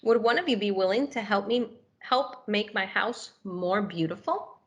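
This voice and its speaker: A woman's voice: mid-range voice, a little slow, close to an everyday voice. A woman voicing a polite, timid storybook hermit crab who admires others and speaks expressively. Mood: admiring, polite. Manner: expressive, courteous, storybook exclaiming.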